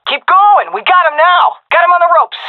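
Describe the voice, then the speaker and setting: A woman's voice: very high voice, lively intonation, moderate pace, no echo. An actress playing a tough, cocky, foul-mouthed female navy commando commander in a video game, brash and sardonic with clipped military delivery through a radio filter. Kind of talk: game combat voice lines. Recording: narrow, phone-like radio comms.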